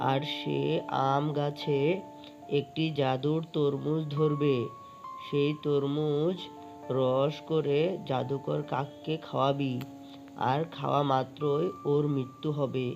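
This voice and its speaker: An actor voicing a wise, commanding old owl healer in a cartoon, explaining solemnly in a gravelly voice. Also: mid-range voice. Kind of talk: cartoon voice acting. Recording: dull, lo-fi track under music. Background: plucked string music.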